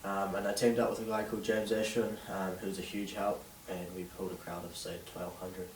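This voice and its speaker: A young man in his late teens with a New Zealand accent, answering interview questions haltingly with many fillers. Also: low voice, little pitch movement, slight background noise. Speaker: interviewee, young DJ and event organiser. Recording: distant roomy camera sound with faint ambience.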